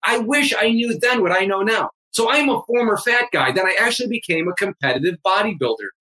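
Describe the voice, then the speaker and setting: Man, 50s, fitness coach, emphatic, motivational, bright voice. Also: high voice, lively intonation, brisk, low-quality recording. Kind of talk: energetic motivational interview talk.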